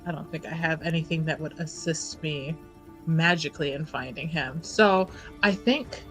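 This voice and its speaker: A woman playing a tabletop roleplaying game, casually musing out loud over what her character should do. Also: low voice, moderate pace, soft background music, low-quality recording.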